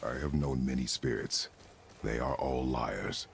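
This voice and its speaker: An actor playing a stern, guarded warrior father with a gravelly rumble and terse, measured, stoic delivery. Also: exaggerated voice. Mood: stern, suspicious.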